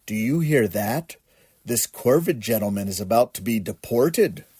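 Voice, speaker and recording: A man voicing a refined, courteous and naive gentleman collie in a children's storybook, genteel and earnest. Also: on the low side, lively intonation, measured pace, slightly rough, no room sound. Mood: courteous astonishment. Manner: genteel, earnest, easily astonished.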